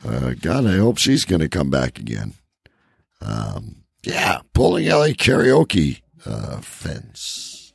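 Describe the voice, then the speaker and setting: Gravelly low-key podcast host, a man. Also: wildly swooping pitch, slow, dry recording, clean studio sound. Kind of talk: casual comedy podcast chat and plugs.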